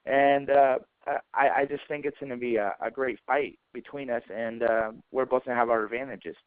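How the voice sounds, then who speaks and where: Man, narrow phone audio, dry, mid pitch, halting informal fighter interview, repeated words. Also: fairly even intonation, clean tone, dry recording. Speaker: professional fighter. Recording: tinny, dry phone conference line.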